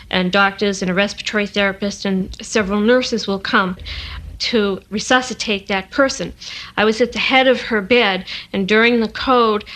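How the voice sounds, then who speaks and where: A woman's voice: medium pitch, a bit bright, rough recording. Woman nurse telling a personal story. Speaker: nurse, interviewee.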